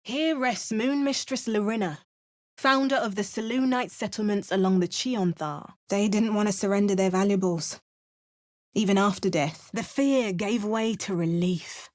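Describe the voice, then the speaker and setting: A woman's voice: medium pitch, normal speed, darkish tone, natural voice. A woman voicing a self-assured young adventurer who delivers game voice lines with dry, wry asides. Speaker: player character adventurer.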